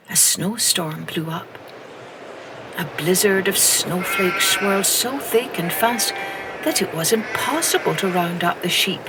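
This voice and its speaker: Female storyteller for kids, lowish voice, very slow and expressive, music bed underneath. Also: poor audio. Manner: expressive, unhurried read-aloud with soft whispered asides. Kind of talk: children's storytelling narration.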